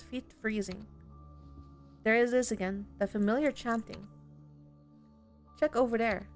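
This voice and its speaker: A woman giving expressive, sweary commentary as she plays a horror game, reading some of the text aloud. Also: medium pitch. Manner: expressive, sweary, reacting out loud. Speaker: video game streamer.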